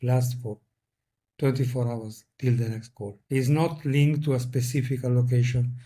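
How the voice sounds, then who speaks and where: Italian-accented man, mellow and thoughtful. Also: medium pitch, little pitch movement. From Italy. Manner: thoughtful, measured, searches for words. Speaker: guest, veterinarian. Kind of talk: reflective interview talk.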